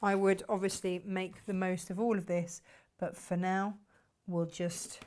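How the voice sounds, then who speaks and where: A woman hosting a sewing tutorial, her voice a little croaky from hay fever, chatting casually to viewers while she cuts fabric. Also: fairly low pitch, flat delivery, unhurried, a little mellow. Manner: chatty, self-deprecating, talks to viewers while working. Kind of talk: casual craft demonstration.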